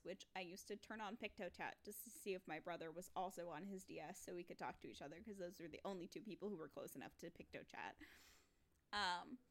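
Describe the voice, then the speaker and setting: A woman's voice: medium pitch, a bit bright. A young woman co-hosting a podcast, telling a rambling story in casual chat. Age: young adult. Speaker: podcast co-host.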